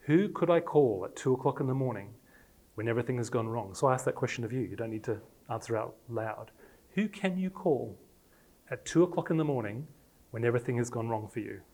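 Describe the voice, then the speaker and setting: Animated man, Australian-English accent. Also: medium pitch, fairly quick. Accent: Australian with English traces. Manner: animated storytelling with self-deprecating humor. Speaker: preacher. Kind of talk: personal sermon talk to a congregation.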